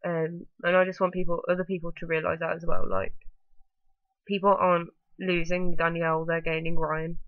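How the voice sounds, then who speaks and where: A woman's voice: fairly low pitch, flat delivery, normal speed, no echo, phone-quality sound. A woman vlogging to camera with a blocked-up nose from a bad cold, rambling along with lots of ums and likes. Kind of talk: casual talking-to-camera vlog.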